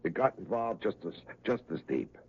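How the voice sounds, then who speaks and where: Troubled male cop, gravelly and wide-swinging, emphatic and fatalistic, on a dull old radio recording. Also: lowish voice, very animated, moderate pace, darkish tone, heavily acted. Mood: anguished. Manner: agitated, repetitive, emphatic. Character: distraught, fatalistic male cop tormented by deadly romances. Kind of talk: 1970s radio drama acting.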